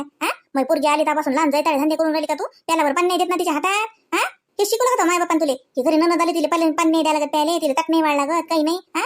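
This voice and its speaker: A woman voicing a loud, high-pitched scolding mother-in-law. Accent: Varhadi Marathi. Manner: haranguing, sharp questioning. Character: scolding older village mother-in-law, combative and indignant. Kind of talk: comedy cartoon dialogue.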